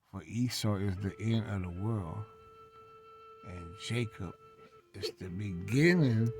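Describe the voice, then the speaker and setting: A man reads scripture at an extremely unhurried pace and adds his own commentary. His voice sits slightly low. He breaks sentences into short, deliberate pieces with long gaps between them. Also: darkish tone. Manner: deliberate, halting phrasing with long pauses. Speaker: religious reader and commentator. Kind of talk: slow scripture read-along with commentary.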